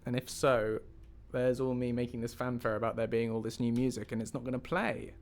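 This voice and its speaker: A man's voice: medium pitch, fairly even intonation, fairly quick. A man hosting a livestream as a game mod developer, talking casually and muttering to himself as he works through problems. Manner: thinking aloud, muttering to himself. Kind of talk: casual livestream talk while troubleshooting.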